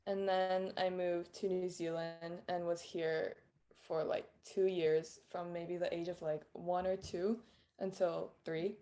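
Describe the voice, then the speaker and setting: A teenage girl talks easily in a casual interview, with little laughs slipping in and "like" filling her sentences. Her voice sits a bit low and sounds soft and mellow, without any edge. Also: little pitch movement, slow. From Philippines. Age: teens. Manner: chatty, laughs often, many "like" fillers. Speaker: interview guest. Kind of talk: casual chat.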